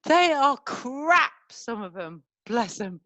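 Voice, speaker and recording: Brief: a woman reading a crude, drawling mum, unhurried, higher voice. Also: big pitch swings, pushed and bright, exaggerated voice. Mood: blunt. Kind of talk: amateur online play reading.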